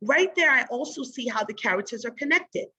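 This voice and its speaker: Lady teacher doing a read aloud lesson, higher voice thats bright and a bit raspy, keeps asking guiding questions. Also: animated, a little fast, a little hiss or hum. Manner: instructive, asks guiding questions.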